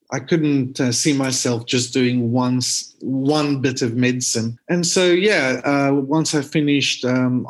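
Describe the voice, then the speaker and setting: A man with a South African accent, a rural doctor being interviewed, talking casually with many pauses and restarts. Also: mid-range voice. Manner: hesitant, many ums and restarts.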